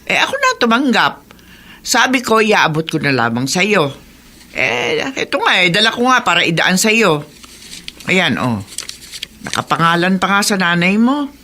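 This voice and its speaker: A woman's voice: medium pitch, sing-song, measured pace, long pauses, bright, forceful tone. A woman playing a chatty, concerned older neighbour in a radio drama, folksy and exclaiming. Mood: informative. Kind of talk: Filipino radio drama acting.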